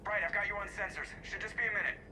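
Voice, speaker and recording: A man's voice: high-pitched, steady tone, racing, noisy background, natural voice. An actor playing a cocky, wisecracking male spaceship pilot in a video game, sarcastic and joking. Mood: businesslike.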